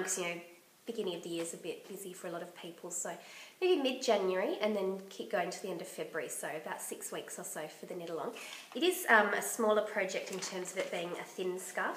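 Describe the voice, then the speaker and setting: A woman hosting a knitting podcast in casual chat, her voice croaky from bronchitis, chatty and enthusiastic. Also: mid-range voice, a bit bright, echoey room, a little hiss or hum, low-quality recording. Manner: chatty and enthusiastic, laughs often.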